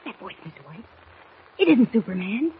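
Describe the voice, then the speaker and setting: An actress playing an anxious, watchful woman reporter, hushed and urgent, in 1940s radio-drama style. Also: a little high, very animated, moderate pace, narrow, tinny audio. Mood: urgent, suspicious. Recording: thin, noisy vintage radio recording.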